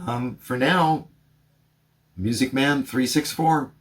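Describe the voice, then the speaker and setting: Guy doing radio checks and gear review talk, plain and measured. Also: medium pitch. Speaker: host of a radio review channel. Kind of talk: radio test calls and product review narration.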